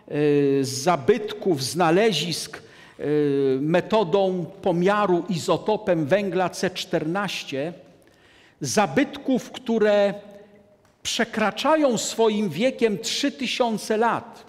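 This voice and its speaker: Older man lecturing in an echoing hall. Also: sits high. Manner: deliberate, emphatic, gestures at a map. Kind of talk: church lecture to an audience. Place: large hall, standing at a lectern. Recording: long hall reverb.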